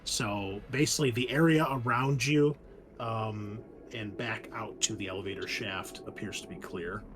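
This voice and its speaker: A man's voice: fairly low pitch, moderate pace, background noise. A man acting as game master, casually narrating a tabletop game and explaining things in a hedging way. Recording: music playing behind the voice. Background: music.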